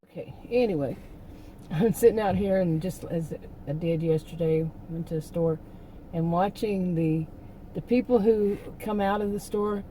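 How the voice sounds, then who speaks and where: Middle-aged woman vlogger, hoarse, dark low voice, chatty and rambling. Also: measured pace, a little hiss or hum, poor audio. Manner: rambling, venting, with many restarts. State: hoarse, losing her voice. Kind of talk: casual rambling chat.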